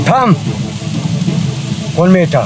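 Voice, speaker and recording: A man's voice: takes it slowly, very noisy, close to an everyday voice. A man playing a scheming, lecherous village occultist in a melodramatic tale, commanding and theatrical. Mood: curt.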